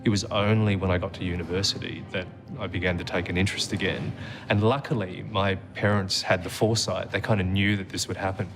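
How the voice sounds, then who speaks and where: Guest: middle-aged man, low voice, measured and reflective, music bed under the talk. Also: flat delivery. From Australia. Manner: reflective storyteller, measured with frequent "um"s. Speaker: interview guest. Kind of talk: conversational interview.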